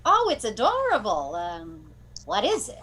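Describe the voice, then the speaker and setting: A woman's voice: mid-range voice, huge pitch swings, unhurried, pushed and bright, slightly rough, heavily acted. A woman playing a practical, wry housemaid in 1940s-style radio comedy, deferential with a sardonic streak. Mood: curious.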